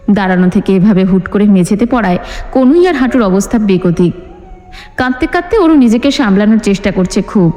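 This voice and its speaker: A woman's voice: on the high side, dark tone. A woman narrating a scripted dramatic story as an audiobook reader. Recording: long hall reverb with music bed. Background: music.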